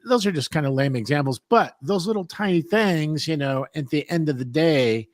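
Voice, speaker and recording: A man telling stories in a casual chat, animated and often throwing in "man" and "you know". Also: on the high side, normal speed, dry recording, studio quality.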